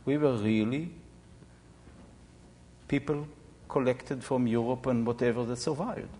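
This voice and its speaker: A man in his seventies from Israel, explaining informally to an audience in a halting, reflective way. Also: medium pitch, warm tone. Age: 70s. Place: large echoey room or hall. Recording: reverberant hall sound.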